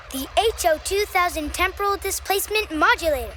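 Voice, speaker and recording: A woman's voice: very high voice, bright, forceful tone, slight background noise, low-quality recording. A woman voicing an eager, boastful kid in a children's puppet show, with an emphatic, showy delivery. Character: eager, boastful kid named Norman, proud of invention.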